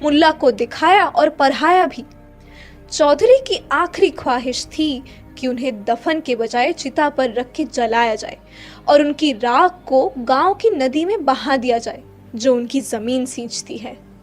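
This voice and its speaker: A woman's voice: sits high, animated, unhurried. A woman narrator reading a literary short story in a dramatic storytelling style. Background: faint music.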